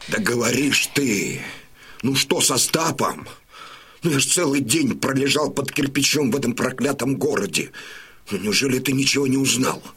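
An actor playing a gruff, impatient old Cossack warrior in an audio drama, blunt and commanding with a rough, husky voice. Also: male voice, mid-range voice, expressive, normal speed, a bit bright. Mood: exasperated, demanding. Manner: blunt, peremptory, insistent. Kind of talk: full-cast audiobook dramatisation.

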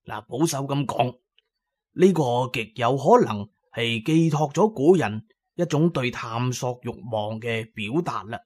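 A man's voice: mid-range voice, animated, measured pace, a little mellow. Guy doing old cantonese archaeology professor, kind n patient, lecturing, audiobook style. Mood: earnest, explaining. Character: kindly, learned elderly male archaeology professor.

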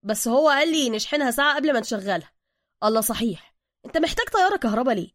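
A woman acts out an excitable Egyptian street guy, a loyal and touchy sidekick in an audio story. His voice rides high and quick, full of colloquial Cairene slang. He gushes and defends himself readily, in a close, dry recording. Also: no echo. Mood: chatty, pleased.

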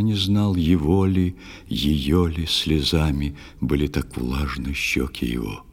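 Very deep, slow, husky male storyteller. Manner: solemn, measured, expressive. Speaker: narrator of a children's musical audio play. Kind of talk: dramatic recitation of a poetic tale. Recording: long hall reverb, music behind.

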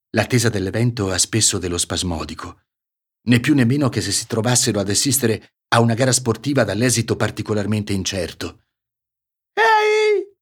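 A man with a northern Italian accent from Ferrara reading a literary story for radio, with measured, theatrical phrasing and deliberate pauses. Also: lowish voice, breathy edge. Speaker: actor reading a short story. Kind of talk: literary audiobook narration for radio.

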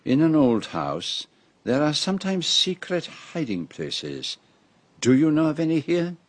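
An actor playing a shrewd, self-assured Belgian detective in a radio drama, with a French-speaking Belgian accent and a deliberate, theatrical manner. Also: male voice, on the high side, sing-song, no room sound. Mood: probing. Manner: deliberate, theatrical, peppers speech with French phrases.

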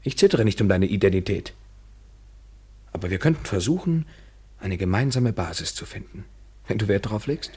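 An actor in a literary German radio play playing a brooding, bitter lover, intense and eloquent, swinging from hushed to vehement. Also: male voice, lowish voice, big pitch swings, moderate pace, long pauses, breathy edge. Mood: cold, challenging. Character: brooding, bitter lover, a man wrestling with himself.

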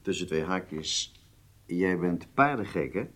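An actor playing a shrewd, informal intelligence planner in a radio drama, with a confident, conversational, wry manner. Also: male voice, deep voice, animated, takes it slowly, a bit bright, exaggerated voice. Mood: wry, teasing. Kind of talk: Dutch radio drama acting.

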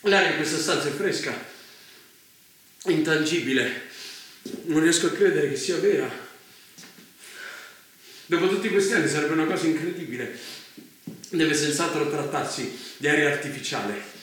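An actor playing a wary, deferential guest in a dystopian stage drama, speaking haltingly and apologetically. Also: male voice, a little high, a bit bright, lightly acted. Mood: wonder, disbelief. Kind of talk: Italian theatre dialogue. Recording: very echoey theatre performance.

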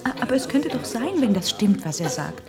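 A woman's voice: on the high side, very animated, brisk, a crowd in the background. An actress playing a curious young woman in a radio drama. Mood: intrigued. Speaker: actor in a radio play. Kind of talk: German radio drama acting.

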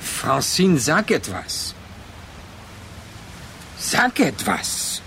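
An actor in a literary radio drama playing a brooding man who addresses his remembered former lover in halting, reflective phrases. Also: a little high, huge pitch swings, pushed and bright, full character voice. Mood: pleading. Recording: clear noise behind the voice.

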